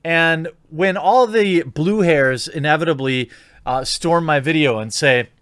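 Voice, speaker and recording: A man's voice: on the high side, a little slow, a bit bright, clean tone, no room sound, clean studio sound. A man giving opinionated video commentary in an indignant, informal, sarcastic manner. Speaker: YouTube commentator.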